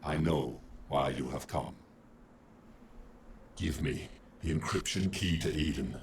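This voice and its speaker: A man playing an imposing, confident war machine in a video game, with a terse, deliberate delivery. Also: a bass voice, a little hiss or hum, full character voice. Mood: ominous. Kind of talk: scripted video game acting.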